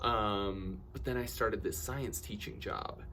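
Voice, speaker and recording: Guy doing story time, dramatic delivery, takes it slow, some background noise. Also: fairly low pitch, creaky. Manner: theatrical, acts out dialogue excitedly. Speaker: camp storyteller and science educator. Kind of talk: animated personal storytelling.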